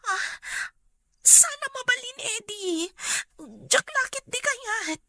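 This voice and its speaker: A woman's voice: very high voice, expressive, slow pace, pushed and bright, no room sound, heavily acted. A woman with an Ilocano accent playing an emotional, pleading woman in a radio drama.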